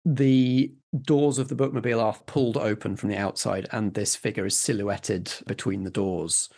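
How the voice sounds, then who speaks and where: A man's voice: lowish voice, steady tone, moderate pace, warm tone. Man narrating as a game master. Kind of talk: narrating a tabletop role-playing game.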